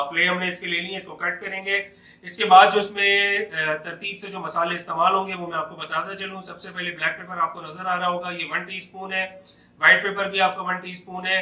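Bright-voiced man teaching cooking in an echoey kitchen. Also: high-pitched. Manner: patient, explains each cut while chopping. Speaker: home cooking channel host. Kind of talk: step-by-step cooking demonstration. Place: home kitchen, indoors.

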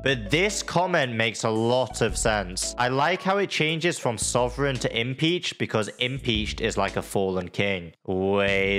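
A man giving excited, casual gaming commentary as a video host, talking in a slangy, exclamatory way. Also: mid-range voice.

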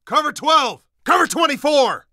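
A man's voice: extremely high pitch, animated, normal speed, pushed and bright, dry recording, studio quality, exaggerated voice. A man playing an edgy, sardonic resistance guard in a video game, talking in a colloquial way. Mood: urgent. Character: edgy, sarcastic male resistance guard showing newcomer around. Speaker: video game minor character.

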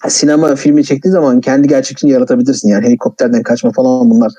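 A man's voice: mid-range voice, little pitch movement, racing, dark tone. A man giving blunt, argumentative film criticism in a casual, colloquial conversation. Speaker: film commentator, video maker.

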